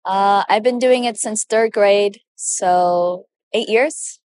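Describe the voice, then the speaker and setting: A woman's voice: medium pitch, takes it slowly, darkish tone, no room sound. A young woman in her teens giving casual interview answers as a student speech competitor.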